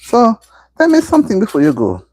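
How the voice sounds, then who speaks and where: A middle-aged Jamaican woman hosts a casual live stream and talks straight to her viewers. Her voice is husky and mellow, and her pitch swoops up and down as she repeats her points for emphasis. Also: wildly swooping pitch, a little fast, no echo. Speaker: livestream host.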